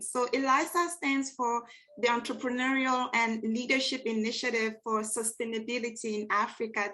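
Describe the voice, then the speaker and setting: A woman's voice: on the high side, fairly bright tone. A woman hosting a networking event, introducing a guest speaker in an enthusiastic, emphatic way. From Cameroon and France. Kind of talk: welcome speech at an online networking event.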